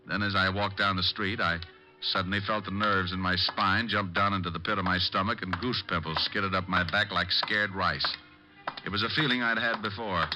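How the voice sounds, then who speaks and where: An actor playing a wisecracking, world-weary private detective with a sardonic, punchy delivery in an old radio drama style. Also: male voice, lowish voice, close to an everyday voice, rough recording. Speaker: lead actor and narrator. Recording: vintage radio recording with faint music.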